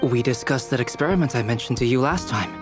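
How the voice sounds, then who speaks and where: Man as a calm, reserved scientist. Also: mid-range voice, fast, over music, close to an everyday voice. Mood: composed. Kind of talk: scripted video game dialogue.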